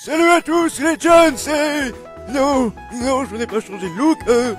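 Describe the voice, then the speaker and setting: Man as high-voiced glib old wizard headmaster. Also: measured pace. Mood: breezy, announcing. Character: breezy, defensive old wizard headmaster making announcements. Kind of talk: comic fan parody dub, speech-making. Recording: music under the voice.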